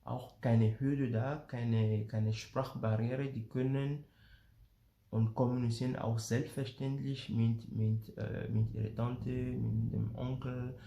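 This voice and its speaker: A man from Benin explains family life calmly and slowly, pausing often with fillers and repeating words as he searches for them. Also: mid-range voice, vocal fry, reverberant, muffled recording. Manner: slow, hesitant, many fillers and repeated words. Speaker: father sharing family experience. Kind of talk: casual explanatory talk to camera.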